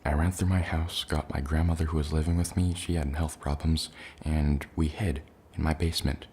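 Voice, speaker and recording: A man playing a shaken survivor of an alien invasion, speaking softly and hesitantly as he gives testimony in an ASMR roleplay. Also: level tone, slight background noise. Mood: shaken, grim. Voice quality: gravelly, creaky low voice. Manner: hesitant, halting, plainly worded recollection.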